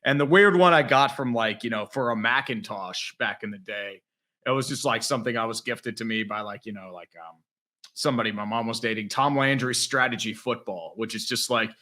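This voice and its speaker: A man's voice: medium pitch, a little fast, sharp tone. A man in his thirties, a podcast guest, talking in a laid-back, chatty way full of fillers. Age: 30s. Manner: laid-back, chatty, leans on "like" and "you know". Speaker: guest YouTuber. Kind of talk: casual chat.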